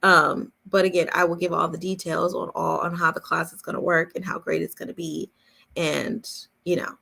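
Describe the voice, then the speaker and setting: A woman speaking informally and directly to a group as a coach hosting an online chat, with a creaky voice. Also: lowish voice, little pitch movement, moderate pace, sharp tone. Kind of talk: casual live chat with attendees.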